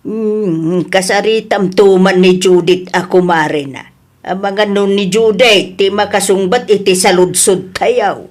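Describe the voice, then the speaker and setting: An actress plays an Ilocano woman in a family drama. She sounds expressive and caring, with a fretful, exasperated edge, at an ordinary mid female pitch. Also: measured pace, close to an everyday voice. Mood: concerned. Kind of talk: Ilocano audio comedy-drama acting.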